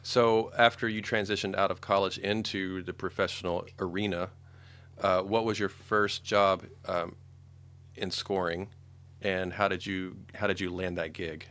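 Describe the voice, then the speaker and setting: A man's voice: low voice, little pitch movement, a little slow, fairly bright tone. A man hosting a casual interview, affirming his guest as he asks questions. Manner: affirming, interjects short agreements. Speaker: podcast host, interviewer.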